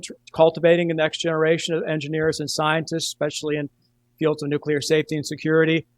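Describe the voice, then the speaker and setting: A man's voice: on the high side, dry recording. A middle-aged man moderating a conference, chattily introducing the next speakers from a podium. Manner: chatty, repeating words. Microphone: podium mic.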